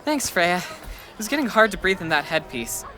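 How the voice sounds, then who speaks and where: An actor playing a shy high school boy who doubts himself and speaks hesitantly in an audio drama. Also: big pitch swings, moderate pace, bright, forceful tone, soft background music, full character voice, rough recording. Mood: shy, defensive.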